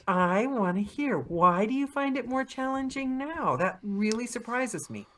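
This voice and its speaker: A woman's voice: a little high, animated, measured pace, a bit bright. A woman, a narrator hosting an interview, speaking in an emphatic, earnest way. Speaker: podcast host and narrator. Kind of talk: casual interview chat.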